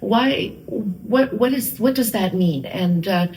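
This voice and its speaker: A woman's voice: mid-range voice, slow, a little mellow, low-quality recording. Woman hosting an interview show. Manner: searching, restarts her questions. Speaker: host.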